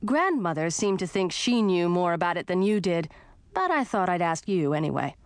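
Woman playing a bold, earnest young princess, lively pitch. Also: moderate pace, clear, resonant tone, no room sound. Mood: probing.

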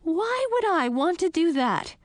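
A woman's voice: high-pitched, very animated, moderate pace, no room sound, pitched above the performer's own voice. A woman voicing a young princess turned frog in a storybook, fretful, indignant and emphatic. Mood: incredulous, wary. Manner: whiny, emphatic, easily upset. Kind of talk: audiobook character acting.

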